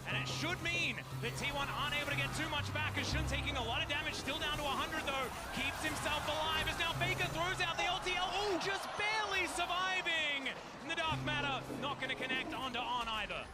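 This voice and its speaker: Fast, high-pitched male esports caster. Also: over loud music. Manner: energetic. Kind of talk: live esports commentary.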